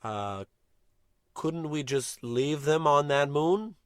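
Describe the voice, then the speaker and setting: A man's voice: on the high side, expressive, takes it slowly, clean tone, no room sound, clean studio sound. A man playing a bumbling, pompous American space captain in a melodramatic old-time radio comedy style. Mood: bossy, dismissive.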